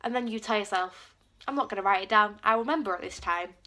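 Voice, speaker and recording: A woman's voice: medium pitch, fast talker, bright, forceful tone. A teenage girl with a cold, chatting casually to camera and filling her talk with "like". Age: teens. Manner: chatty, lots of "like" fillers, acts out quotes. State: has a cold. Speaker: vlogger.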